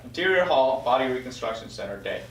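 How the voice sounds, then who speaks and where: A man's voice: mid-range voice, lively intonation, fast talker. A man reading stage directions as the narrator of a screenplay table read. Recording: echoey room, distant mic, faint noise.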